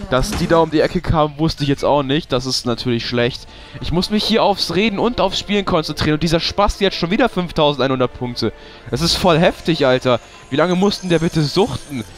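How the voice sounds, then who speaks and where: A young man, giving casual gameplay commentary, swearing and ranting when he loses. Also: fairly high pitch. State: has a cold. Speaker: let's play host.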